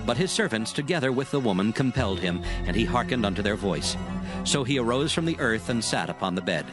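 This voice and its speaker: Animated male scripture narrator. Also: mid-range voice, brisk. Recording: music under the voice.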